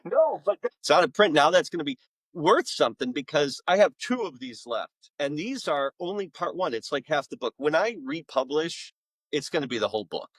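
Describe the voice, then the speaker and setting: A man talking casually as an author guest in a podcast interview, with an informal, halting, rambling delivery. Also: on the high side, sing-song, fairly bright tone, no echo, rough recording.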